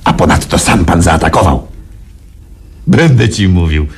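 An actor in a Polish radio play playing a smug, callous, wealthy boss who talks with amused, drawling self-assurance. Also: male voice, sits low, expressive, measured pace, husky, heavily acted. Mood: smugly amused.

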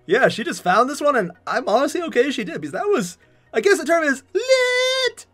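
A man's voice: very high-pitched, big pitch swings, brisk, fairly bright tone, soft background music. A young man hosting a reaction video at home, chatting casually with amused exclamations. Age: young adult. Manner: amused, exclamatory, laughs through words. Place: furnished room at home, on a couch.

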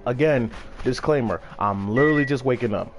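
A man's voice: medium pitch, brisk. A man with a slightly scratchy morning voice giving casual, profane let's play commentary to viewers. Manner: slangy, profane, talking to viewers. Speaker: video game streamer. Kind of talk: casual let's play commentary with slangy banter, reading dialogue aloud. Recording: game music behind the voice.

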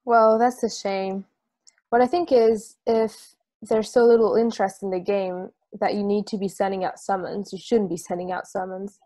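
A woman reading the part of a football player on the run who explains things calmly and plainly, in a video-call table read. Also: mid-range voice, normal speed, close to an everyday voice.

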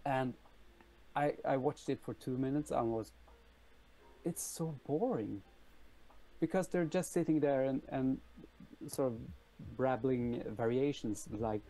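A man's voice: mid-range voice, slow pace, pauses often, warm tone, low-quality recording. A middle-aged Norwegian man with a Norwegian accent, chatting casually as an interview guest, often hesitating and repeating words. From Norway. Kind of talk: casual chat. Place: room at home, video call.

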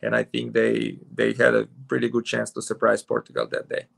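A man's voice: medium pitch, completely flat delivery, fairly quick, vocal fry, a bit bright. A man in his forties from Serbia with a Serbian accent, a futsal coach speaking hesitantly with many fillers on a video call from home. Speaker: futsal head coach, guest. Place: room at home with curtains.

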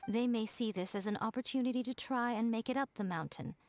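A woman's voice: fairly high pitch, noisy background, close to an everyday voice. A woman voicing a composed, commanding shrine priestess in a video game. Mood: calm instruction. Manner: measured, poised, commanding. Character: composed fox shrine priestess, calm and knowing. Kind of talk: game voice acting.

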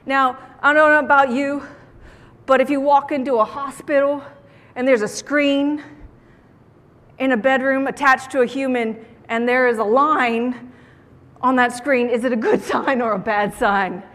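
A woman giving a motivational keynote talk to an audience on stage, with an animated, theatrical delivery and playful emphasis. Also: high-pitched, measured pace. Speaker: keynote speaker. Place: large indoor conference room, on stage. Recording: echoey conference hall sound.

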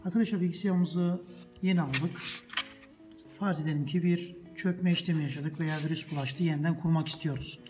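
A man's voice: a little high, steady tone, fairly quick, over music. A man hosting a casual step-by-step computer tutorial, repeating each step patiently for his viewers. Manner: patient, repeats steps, addresses viewers as friends. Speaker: tech tutorial host. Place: indoors at a desk, off camera.

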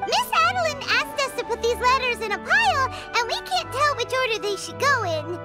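A woman voicing a tiny, cheerful childlike fairy companion in a video game, squeaky, chirpy and naive. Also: big pitch swings, a little fast. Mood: excited, chatty. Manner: chirpy, naive, refers to self in third person. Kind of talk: video game character dialogue. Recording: music behind the voice. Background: game music.

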